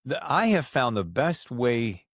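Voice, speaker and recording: A man hosting a podcast, chatting casually and telling anecdotes in an animated way with acted-out quotes. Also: medium pitch, a little slow, dry recording, studio quality. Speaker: podcast host. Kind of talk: casual interview chat.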